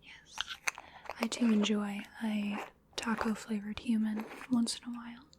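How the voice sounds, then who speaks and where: Female performer as playful, hungry vampire lady for ASMR roleplay: whispered, very slow delivery, polite and coaxing, musing over her prey. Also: medium pitch, level tone, noisy background, natural voice. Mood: musing, indulgent.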